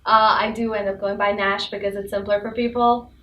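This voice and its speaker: A young woman from India, a student telling her story in a lively, giggly way. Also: mid-range voice, a little fast. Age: young adult. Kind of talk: casual panel chat. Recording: echoey room, mic far off, faint noise.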